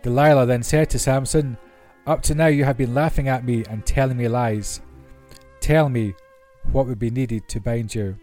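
A man's voice: medium pitch, fairly even intonation, normal speed, a little mellow. A man reading Bible stories aloud as scripted narration. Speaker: Bible reader. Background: classical orchestral music.

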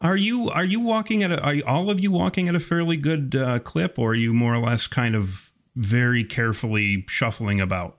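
A man acting as game master, narrating a spooky tabletop roleplaying game in ornate, deliberate wording. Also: medium pitch.

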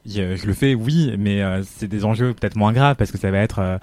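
A man's voice: on the low side, normal speed, no echo. A man co-hosting a podcast, chatting casually and enthusiastically with many fillers and restarts. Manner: animated, lots of fillers and restarts. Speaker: podcast co-host, fashion journalist. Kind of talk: casual chat.

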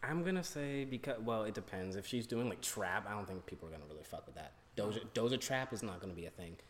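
A man's voice: fairly low pitch, animated, fast. A young adult man co-hosting a podcast in casual chat, arguing his point emphatically and swearing. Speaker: podcast co-host. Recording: reverberant room.

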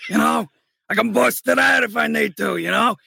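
A chatty woman with a low, boyish voice that has a bright, forward edge, talking fast and laughing often as a podcast guest. She is recorded close on a dry mic. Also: vocal fry, breathy edge, no room sound. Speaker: audiobook narrator, guest. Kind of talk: casual podcast chat.

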